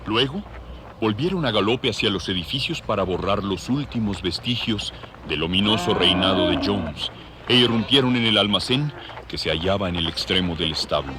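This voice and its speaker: A man's voice: lowish voice, lively intonation, moderate pace. A man narrating a dramatized novel in a scripted storytelling style. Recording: farm animal noise behind.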